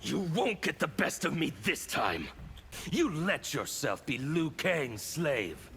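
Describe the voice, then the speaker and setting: Seething gravelly rebel zealot for a fighting game, slow and wildly swooping delivery. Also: male voice, on the high side, sing-song, fairly bright tone, slight background noise, exaggerated voice. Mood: menacing, bitter. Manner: theatrical, menacing, declamatory. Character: fanatical scarred revolutionary warrior, seething, defiant, zealous. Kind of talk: video game character dialogue.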